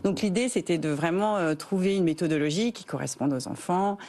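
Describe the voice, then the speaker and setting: A woman from Rhône-Alpes whose first language is English, a company founder answering TV interview questions earnestly, with frequent hesitant pauses. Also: on the low side, darkish tone. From France, Rhône-Alpes. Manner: earnest, explains at length with many "euh" pauses.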